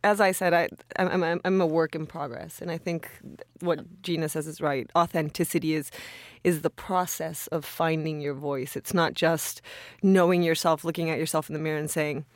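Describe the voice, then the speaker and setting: A woman's voice: sits low, fairly even intonation, moderate pace, no room sound, studio quality. A woman guest at a roundtable, speaking thoughtfully and correcting herself as she goes. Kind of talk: roundtable discussion.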